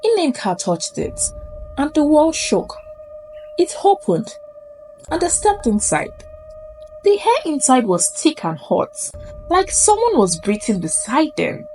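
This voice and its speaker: A woman's voice: high-pitched, wildly swooping pitch, takes it slowly, darkish tone, poor audio. A Nigerian woman narrating a spooky folktale in a suspenseful, theatrical way. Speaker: storyteller. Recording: music under the voice. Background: background music.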